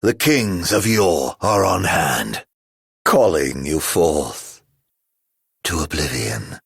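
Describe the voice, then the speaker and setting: A man playing a mocking, immortal, vengeful video game villain with a gravelly, husky voice and a drawling, grandiloquent, sardonic delivery. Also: extremely low pitch, animated, slow, dry recording, clean studio sound, heavily acted. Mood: bitter, contemptuous. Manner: drawling, grandiloquent, sardonic, swinging from murmurs to shouts. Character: theatrical, mocking, immortal male villain bent on revenge.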